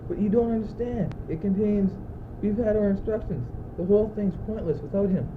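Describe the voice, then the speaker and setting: Anxious, brooding courtier, played by a male student, clipped declamatory lines, higher-pitched, school radio drama, heavy noise. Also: a little fast, close to an everyday voice. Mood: agitated, bewildered. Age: teenager. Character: anxious, exasperated young courtier, brooding and fatalistic. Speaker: student actor. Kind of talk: school radio play acting. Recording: muffled phone-like old tape, loud noise.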